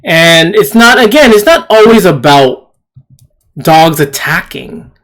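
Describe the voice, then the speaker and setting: A man with a fairly high voice hosts an opinion commentary. He talks slowly and haltingly, circling back to repeat his points with emphasis. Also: big pitch swings, a bit bright. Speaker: channel host. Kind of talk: opinion commentary over a news clip.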